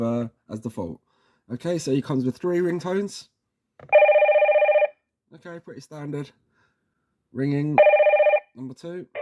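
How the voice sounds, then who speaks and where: Casual man giving a tech tutorial. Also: fairly high pitch. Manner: informal, chatty, often checking in with "okay" and "all right". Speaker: presenter of a product tutorial series.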